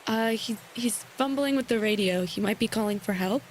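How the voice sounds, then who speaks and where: An actress playing a frightened, cautious young woman held hostage in an audio drama, anxious and halting. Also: a little high, lightly acted, low-quality recording. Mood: anxious, urgent. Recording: loud noise behind the voice.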